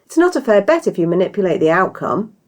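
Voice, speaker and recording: A woman's voice: medium pitch, big pitch swings, fast talker, pitched above the performer's own voice, close to an everyday voice. A British woman voicing a sardonic, easily indignant inn worker in a storybook, with a dry, challenging manner.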